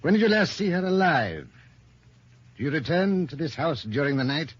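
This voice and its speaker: An actor playing a shrewd, unflappable police inspector, questioning with dry authority in old radio detective style. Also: male voice, fairly high pitch, big pitch swings, normal speed, breathy edge, no room sound. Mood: pressing. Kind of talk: old-time radio detective drama acting. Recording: dull lo-fi vintage radio recording.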